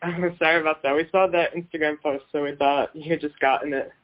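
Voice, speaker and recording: A man's voice: on the high side, steady tone, brisk, muffled recording. Upbeat man reading interview questions. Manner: friendly, scripted questions. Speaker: interviewer host. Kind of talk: podcast interview.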